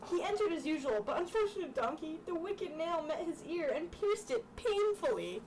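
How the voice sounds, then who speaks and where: A woman's voice: very high voice, big pitch swings, normal speed, darkish tone. Distant, noisy recording of a young woman reading. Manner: informal, stumbles over the text. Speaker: storytime reader. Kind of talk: reading a children's book aloud with casual asides. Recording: distant mic, clearly noisy room sound.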